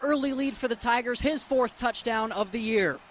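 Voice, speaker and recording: A man with a high voice works as a football color commentator, breaking down plays on a live broadcast. Faint background noise sits behind him. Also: normal speed, fairly bright tone. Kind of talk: live sports analysis between plays.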